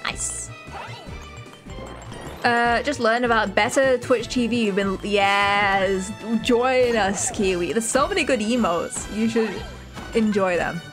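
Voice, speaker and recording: A young woman from the north of England with a softened northern accent, chatting casually and giggling as a streamer while she plays and reads chat aloud. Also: mid-range voice, takes it slowly. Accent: Northern English, softened. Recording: game music behind the voice.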